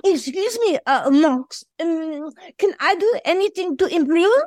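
A woman's voice: very high-pitched, animated, measured pace, a little mellow, no room sound, studio quality. A woman playing an eager, sensitive little female show dog in an audio play, with theatrical delivery. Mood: indignant, anxious.

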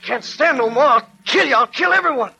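A man's voice: extremely high pitch, expressive, a little fast, creaky, music in the background, exaggerated voice. A man with an American accent playing an anguished, injured, vicious escaped convict in a 1940s radio crime drama. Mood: hysterical rage. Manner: snarling, menacing. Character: desperate, vicious escaped male convict on the run. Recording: noisy old 1940s radio recording.

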